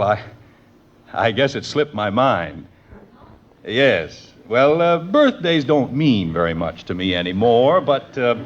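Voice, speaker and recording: An American man playing a wry, put-upon middle-aged father in a vintage radio sitcom, with a dry, sarcastic manner. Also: medium pitch. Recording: muffled, hissy old radio recording.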